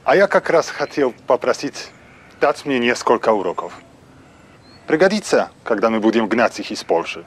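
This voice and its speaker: A young actor playing an earnest Polish geologist who speaks careful, halting Russian with a Polish accent. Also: male voice, medium pitch, very animated, husky. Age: young adult. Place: sunny outdoor courtyard.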